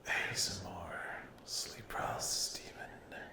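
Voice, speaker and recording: A man speaking in a hushed, halting whisper as an ASMR host addressing his viewers. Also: reverberant. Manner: hushed, halting delivery with long pauses.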